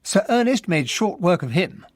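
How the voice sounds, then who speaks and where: An actor playing an urbane English gentleman who narrates a radio drama in an expressive storytelling manner. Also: high voice, normal speed, no room sound. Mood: wry. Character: English gentleman narrator recounting a murder trial.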